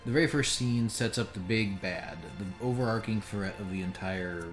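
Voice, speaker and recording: A man with a low voice chats through a live game stream in a rambling, opinionated way. The room is echoey, and game music plays faintly behind him. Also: normal speed. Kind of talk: casual live stream commentary. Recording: roomy sound under game audio.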